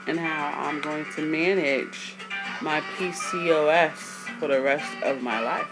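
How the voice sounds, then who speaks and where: A woman in her thirties with a deep voice, just out of bed, talking to her camera in a chatty, rambling way with side notes. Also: fairly even intonation, unhurried, over loud music, low-quality recording. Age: 30s. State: just woke up. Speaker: vlogger. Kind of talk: casual talk to camera.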